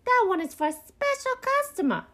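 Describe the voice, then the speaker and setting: A woman voicing a bossy male raccoon bully in a children's story, yelling orders and threats like a wiseguy. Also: extremely high pitch, big pitch swings, fairly quick, voice pushed up, exaggerated voice. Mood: barking, impatient. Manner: shouting orders, threatening, New York wiseguy phrasing.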